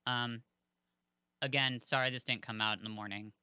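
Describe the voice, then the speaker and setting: A man rambles through casual podcast chatter, dropping fillers as he goes. His voice is strikingly bright and smooth, recorded close and dry. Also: mid-range voice, fairly even intonation, normal speed, no echo. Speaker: podcast co-host.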